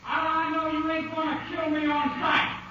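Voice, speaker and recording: An actor playing a defiant, wary cornered outlaw in a radio western, taunting in rough speech. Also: male voice, extremely high pitch, completely flat delivery, moderate pace, pushed and bright. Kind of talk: 1950s radio western acting. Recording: muffled, echoey old radio recording.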